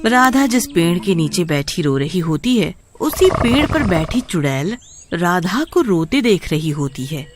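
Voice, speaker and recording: A woman's voice: lowish voice, big pitch swings, unhurried, noisy background. A woman storyteller narrating a scripted tale.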